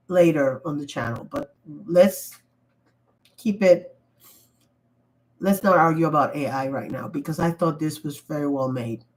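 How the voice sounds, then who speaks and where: A woman's voice: lowish voice, poor audio. A woman hosting a casual livestream while sick and losing her voice, talking in an emphatic, rambling way with frequent restarts. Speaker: livestream host. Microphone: computer mic.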